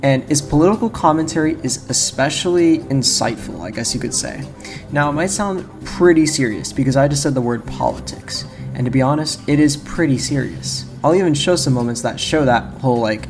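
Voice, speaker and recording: A young man with a slightly low voice gives casual video essay commentary over prominent music. He talks informally and rambles, often cutting into his own sentences. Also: normal speed. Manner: informal, rambling, self-interrupting. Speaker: video game YouTuber. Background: prominent background music.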